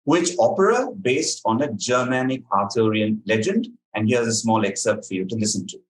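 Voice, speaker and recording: A man presents a quiz as its question reader. He speaks in a measured, articulate voice, setting out clues and explanations for the contestants. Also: fairly low pitch, animated, rough recording. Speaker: quiz master.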